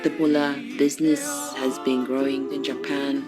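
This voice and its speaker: Deep-voiced woman over loud music. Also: little pitch movement, normal speed. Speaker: documentary interviewee.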